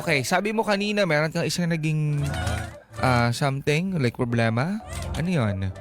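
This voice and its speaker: A man's voice: on the high side, animated, normal speed. Filipino male radio host, music behind. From Philippines. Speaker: radio advice show host. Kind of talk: conversational radio interviewing. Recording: prominent music bed behind voice. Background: background music.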